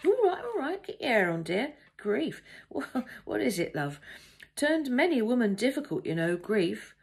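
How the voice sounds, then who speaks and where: Woman voicing a blustering, gravelly older man. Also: a little high, big pitch swings, a little slow, pitched above the performer's own voice, heavily acted. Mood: scornful. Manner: blustering, patronising, colloquial. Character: talkative pompous older man, tactless railway passenger. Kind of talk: audiobook character dialogue.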